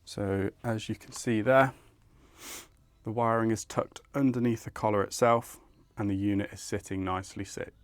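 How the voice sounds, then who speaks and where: A man's voice: fairly low pitch, steady tone, moderate pace, no room sound. A man presenting an installation tutorial, explaining each step in a methodical, informal way. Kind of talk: instructional step-by-step tutorial narration.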